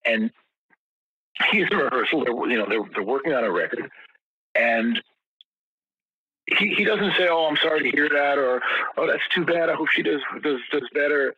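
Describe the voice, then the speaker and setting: Older male guest, husky forceful voice, rambling storyteller, dry lo-fi audio. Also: on the high side, animated, moderate pace, long pauses, no echo. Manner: rambling, many restarts and repeated words. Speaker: guest musician. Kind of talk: casual interview storytelling.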